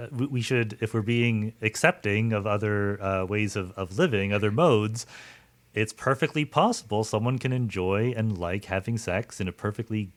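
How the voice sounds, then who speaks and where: A man hosting a conversational interview, thinking aloud and rephrasing his questions as he goes. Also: fairly low pitch. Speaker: podcast host, interviewer.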